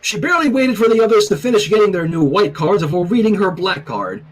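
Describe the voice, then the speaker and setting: A man hosting a stream, reading fan fiction aloud in an animated style with casual asides. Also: sits high, quick pace.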